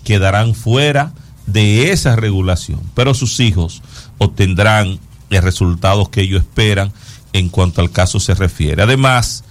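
Low-voiced Dominican man commenting on news. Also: normal speed. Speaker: talk show host commenting on news.

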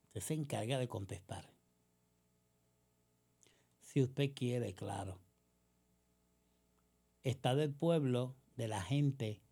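A woman's voice: a bass voice, warm tone, husky. A woman with a Puerto Rican accent delivering a combative political monologue, sarcastic and accusatory, full of rhetorical questions. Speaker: political commentator.

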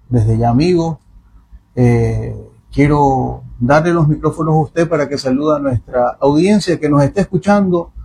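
Effusive radio host. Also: male voice, a little high, slight background noise. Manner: warm, effusive, formal address to the guest. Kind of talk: radio interview.

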